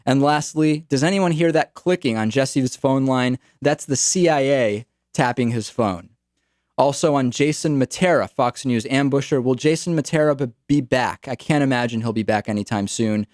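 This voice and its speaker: A man's voice: mid-range voice, moderate pace, a little mellow, dry recording. Young male radio host, casual wry delivery, close dry mic. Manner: casual, wry, repeats words. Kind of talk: talk radio monologue.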